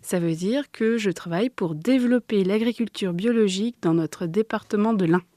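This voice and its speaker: A woman's voice: medium pitch, moderate pace, dark tone, no echo, studio quality. A woman explaining things simply and didactically as a guest in an informal radio interview. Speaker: interview guest, agricultural association organiser. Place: close, dry recording for a radio workshop.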